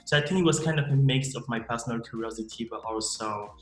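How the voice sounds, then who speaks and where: A man in his thirties gives interview answers in a steady, explanatory way, often restarting his sentences. His tinged carries through an echoey room. Faint music sits in the background. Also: mid-range voice. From Cameroon. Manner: measured, explanatory, frequent restarts. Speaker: MBA student guest.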